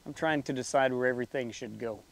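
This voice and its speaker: A young man hosting a DIY farm video, thinking aloud in a plain, unhurried way while he works outdoors in a farmyard, picked up from a distance by the camera. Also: mid-range voice, vocal fry. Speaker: DIY farm channel host. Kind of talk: casual building tutorial narration.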